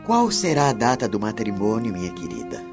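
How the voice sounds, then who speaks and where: A woman's voice: extremely low pitch, big pitch swings, fairly quick, full character voice. An actress playing a prim old Roman grandmother in a radio drama, solemn and preaching. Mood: solemn advice. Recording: dull lo-fi audio, music behind.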